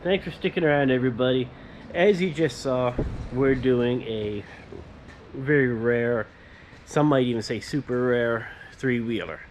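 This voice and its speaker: A man's voice: medium pitch, a little slow. A man hosting a casual workshop vlog, explaining hands-on work step by step in plain words. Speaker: host, powder coating tradesman. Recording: noisy workshop background.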